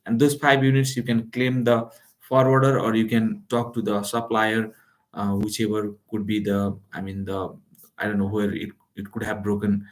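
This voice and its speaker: A man's voice: fairly low pitch, level tone, normal speed, rough recording. A man with a delivery giving a live how-to lesson, talking loosely with many fillers and restarts. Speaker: online business instructor. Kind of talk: live-streamed how-to talk. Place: lives in Calgary.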